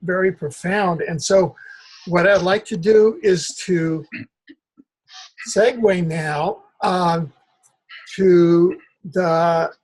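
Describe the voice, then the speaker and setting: An older man hosting a conversational interview, speaking in a halting, deliberate way. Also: high voice, fairly even intonation, darkish tone, rough recording. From Cuba. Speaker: interview host.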